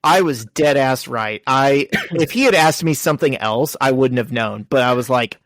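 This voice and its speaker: A man's voice: mid-range voice, moderate pace, fairly bright tone, no echo. Man, podcast co-host, casual chat, self-mocking jokes, dry close mic. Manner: joking, self-mocking storyteller.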